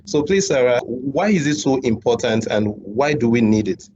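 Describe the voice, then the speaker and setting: A man's voice: medium pitch, animated, moderate pace, a little mellow. A man hosting an interview show, speaking hesitantly with frequent fillers and repeated words. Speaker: show host. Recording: dull lo-fi audio, faint background hum.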